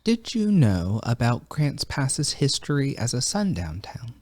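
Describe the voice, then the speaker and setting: A man conducts an oral history interview, posing calm, brief questions. His pitch moves in a lively way, and the recording is dry and close. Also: unhurried, no echo.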